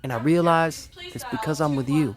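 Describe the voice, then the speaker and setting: A man's voice: fairly high pitch, normal speed, natural voice. A man playing an earnest, romantic lover in a soap-opera audio drama, with emotive, sincere delivery. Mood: tender. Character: earnest, romantic male lover, eager and defensive. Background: faint music.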